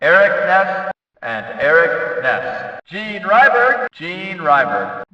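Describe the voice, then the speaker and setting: Echoey, high-energy showy race announcer. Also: male voice, big pitch swings, full character voice. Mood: showy, hyped. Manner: drawn-out, booming delivery. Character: excitable male race announcer, big and showy. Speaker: video game race announcer. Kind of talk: racing announcer calls of driver names. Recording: echoey, reverberant, distant, slightly dull.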